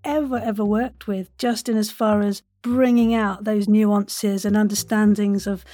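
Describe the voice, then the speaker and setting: A woman's voice: mid-range voice, flat delivery, measured pace, mellow, no room sound, soft background music. A woman hosting a casual interview in a chatty, enthusiastic and playful way. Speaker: podcast host and voice actor.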